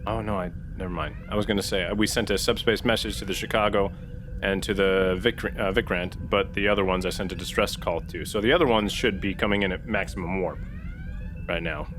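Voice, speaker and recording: A man playing a tabletop game, talking casually in a halting, self-correcting way. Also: deep voice, steady tone. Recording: faint background noise.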